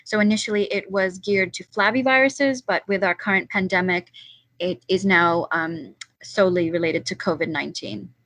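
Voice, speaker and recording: A woman's voice: mid-range voice, normal speed, fairly bright tone, no echo, poor audio. A woman senior postdoc introducing her research hesitantly, with frequent ums, on a podcast panel.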